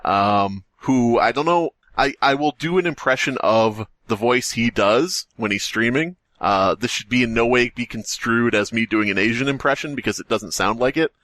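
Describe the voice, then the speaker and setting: A man's voice: medium pitch, moderate pace, hardly any pauses, a bit bright, dry recording. A man co-hosting a podcast, chatting casually as a rambling, sardonic storyteller who likes to joke. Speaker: podcast co-host. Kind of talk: casual comedic podcast chat.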